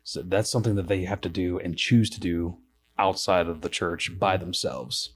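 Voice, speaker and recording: A man in his late twenties hosting a casual worship podcast, upbeat and joking, asking questions and giving advice. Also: deep voice. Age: 20s. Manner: upbeat, joking, quick asides. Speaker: podcast host, worship leader.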